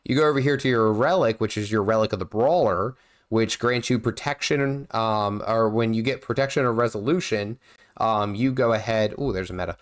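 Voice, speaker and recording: A man's voice: on the low side, normal speed. A man with a nasal, under-the-weather voice, rambling through casual livestream commentary with lots of fillers and restarts while he plays. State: nasal from feeling unwell. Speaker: gaming livestream host.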